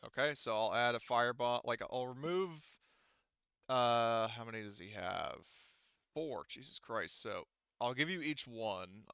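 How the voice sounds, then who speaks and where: Halting man with a bright, clear voice. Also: mid-range voice, no room sound, lo-fi sound. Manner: halting, self-correcting. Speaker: game master. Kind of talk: casual tabletop game narration and rules talk.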